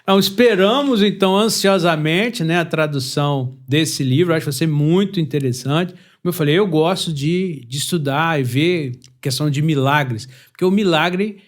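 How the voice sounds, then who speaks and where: Male Brazilian podcast host, high voice, lively and chatty. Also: moderate pace, hardly any pauses. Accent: Brazilian Portuguese. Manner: chatty, animated, laughs easily. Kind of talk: casual chat.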